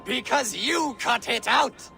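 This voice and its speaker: A man's voice: very high-pitched, takes it slowly, creaky, bright, forceful tone, faint music behind, full character voice. An actor playing a deluded, proud old man in a video game, rambling and grandiose. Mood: frantic, distraught. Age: older. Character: deluded old Saxon man, proud, fretful, wandering-witted. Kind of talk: video game cutscene acting.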